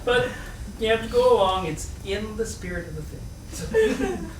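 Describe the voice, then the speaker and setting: Guy reading a storybook out loud, playful n chatty, echoey room, bit far from mic. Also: high voice, a little slow. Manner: expressive, playful. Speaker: reader at a live book reading. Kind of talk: storybook narration with chatty asides. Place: indoor room at a live reading. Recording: echoey room, distant mic, faint noise.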